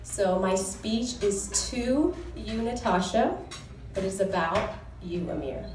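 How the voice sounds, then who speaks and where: A woman's voice: medium pitch, animated, takes it slowly, a little mellow, soft background music. Earnest young woman toasting in an echoey hall. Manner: earnest, heartfelt, devout. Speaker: groom's sister giving a wedding toast. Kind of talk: formal wedding toast with scripture readings. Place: wedding reception hall. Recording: echoey hall recorded from far off.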